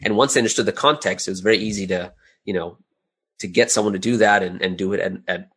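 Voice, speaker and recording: A man's voice: low voice, fast talker, fairly bright tone, husky. A middle-aged man talking casually as an interview guest, in a chatty way peppered with "you know" and "right?". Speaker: guest, audio drama creator.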